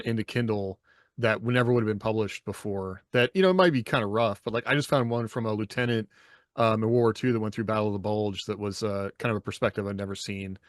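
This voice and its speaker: A man speaks as a podcast host in an easy conversational register. His voice is a little lower than average, and his delivery is informal and unscripted. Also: no room sound, clean studio sound. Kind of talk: casual conversational discussion.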